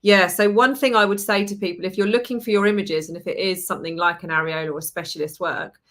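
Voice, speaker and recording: A woman from the UK with an English accent, a permanent makeup trainer chatting as a podcast guest and telling stories in a lively way. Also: fairly low pitch, quick pace. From United Kingdom. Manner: chatty, lively storytelling with laughs. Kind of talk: casual podcast chat.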